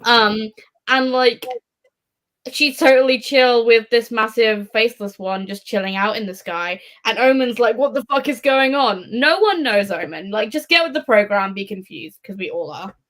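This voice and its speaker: A woman's voice: on the high side, lively intonation, normal speed, a bit bright. A woman in a casual live book discussion, rambling along in a wry, sweary way. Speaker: book readalong panellist.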